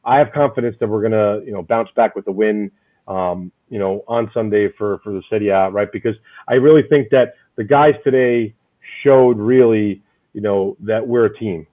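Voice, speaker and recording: A man hosting a casual fan chat, loud and rambling, often repeating his words. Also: fairly low pitch, normal speed, phone-quality sound. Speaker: podcast host.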